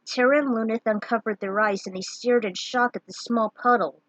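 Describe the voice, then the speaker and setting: Woman reading her fantasy story out loud, highish voice, dramatic, dry close sound. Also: fairly even intonation, normal speed, dry recording, low-quality recording. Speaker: storyteller reading fan fiction. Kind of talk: dramatic scripted story narration.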